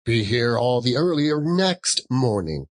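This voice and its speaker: A man's voice: medium pitch, very animated, takes it slowly, voice pushed up. A man voicing a miserly old employer in a storybook, grumbling in a curt, grudging manner. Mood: grudging, irritable.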